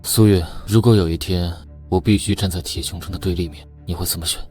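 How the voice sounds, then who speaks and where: A man acting a battle-hardened loner in an apocalypse animation. His voice sits very low, and he speaks in cool, terse, commanding lines with loud dramatic music behind him. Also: fairly even intonation, fast talker, natural voice. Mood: sombre. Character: aloof, battle-hardened man, powerful calm fighter in apocalypse. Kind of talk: dramatic dubbed animated-comic dialogue.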